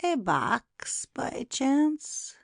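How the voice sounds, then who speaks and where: A woman plays a cruel, gloating poisoner in a dramatic audiobook. The delivery is slow and taunting, gravelly with fry, and set close in a dry space. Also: high voice, sing-song, no echo, full character voice. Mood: taunting, insistent.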